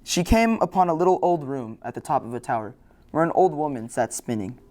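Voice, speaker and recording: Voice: medium pitch, lively intonation, brisk, darkish tone. A storyteller reading a scripted fairy tale as narration.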